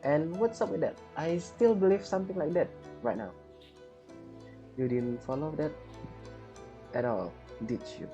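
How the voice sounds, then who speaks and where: Gamer commentator: woman, low and unhurried, casual, with game music faintly behind. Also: expressive, warm tone, lo-fi sound. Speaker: game player commenting on a playthrough.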